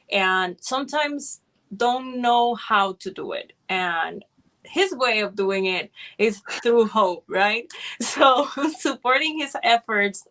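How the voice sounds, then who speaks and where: A woman from Puerto Rico and the Dominican Republic speaks with a bright, crisp voice at a middle pitch. She co-hosts in a friendly, easygoing way and laughs easily. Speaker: nonprofit director, co-host. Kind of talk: casual chat.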